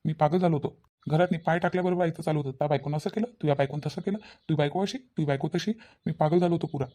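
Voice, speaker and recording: A man's voice: on the high side, rapid-fire, dark tone, close to an everyday voice. A man voicing a scheming young husband in a village cartoon, self-justifying and repetitive, with a Varhadi Marathi accent. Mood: exasperated, smug. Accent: Varhadi Marathi (Vidarbha). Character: exasperated, scheming newly married young husband.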